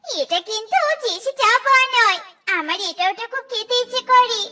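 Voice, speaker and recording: Very squeaky cartoon young bride. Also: female voice, lively intonation, a little fast, heavily acted. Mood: coy. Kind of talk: cartoon story dialogue. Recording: loud music behind, muffled distant voice.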